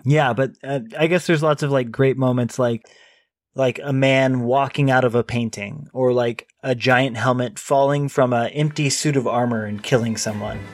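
Man, podcast co-host, relaxed, rambly, average pitch, dry recording. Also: moderate pace, dry recording. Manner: relaxed, many "like" fillers, wraps up episodes. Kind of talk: casual book chat.

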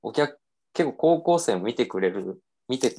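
A man's voice: mid-range voice, expressive, a little slow, a little mellow. A young man casually telling a self-mocking story on a podcast, with lots of fillers.

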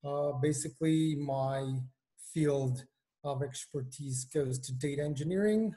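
Hesitant Israeli-born man, slightly high voice. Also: measured pace, mellow. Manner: hesitant, many fillers and restarts. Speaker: guest, engineering manager. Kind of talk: casual video-call interview. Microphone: video call.

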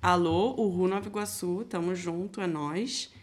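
A woman's voice: on the low side, moderate pace. A young Brazilian woman with a Rio de Janeiro accent, chatting casually as a podcast co-host. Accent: Carioca Brazilian. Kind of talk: casual chat.

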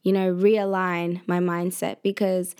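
A woman's voice: lowish voice, level tone, a little slow, darkish tone, clean tone. A twenty-year-old woman and university student talking casually on her vlog, chatty and slangy, laughing often as she speaks. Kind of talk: casual personal vlog talk.

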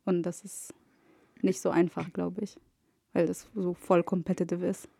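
A woman's voice: lowish voice, little pitch movement, moderate pace, vocal fry, dark tone, husky, no room sound, clean studio sound. Woman mixing English into casual chat. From Egypt. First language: Arabic. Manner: mixes English words into her talk, hesitant. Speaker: podcast guest.

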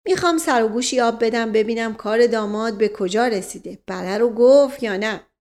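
A woman acts a wisecracking male aristocrat in a comic audiobook. He is wry, teasing and flippant. The voice is on the high side. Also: a little mellow, close to an everyday voice. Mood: curious. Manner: wry, teasing, catchphrase-prone.

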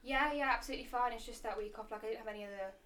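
Fast-talking young woman. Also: on the high side, a bit bright. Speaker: podcast guest. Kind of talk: casual chat.

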